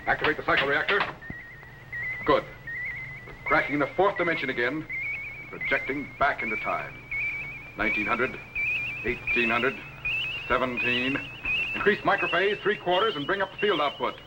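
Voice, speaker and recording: A man's voice: medium pitch, animated, moderate pace, pauses often, lightly acted. A middle-aged man playing a commanding, learned time-lab captain in a 1950s television serial, clipped and precise. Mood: commanding, focused. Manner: clipped, authoritative, precise orders. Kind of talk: 1950s TV science fiction acting. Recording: noisy 1950s film soundtrack.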